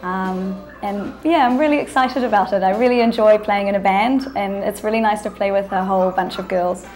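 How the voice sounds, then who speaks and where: A woman's voice: medium pitch, fairly quick, darkish tone. New Zealand woman in an echoey room. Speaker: interview guest, musician. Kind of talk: casual interview.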